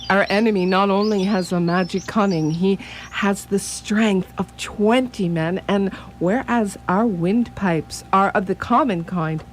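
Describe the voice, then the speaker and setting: A woman playing a wise, grave old male professor who hunts vampires, speaking formally and deliberately in a radio drama. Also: mid-range voice, slight background noise, lightly acted.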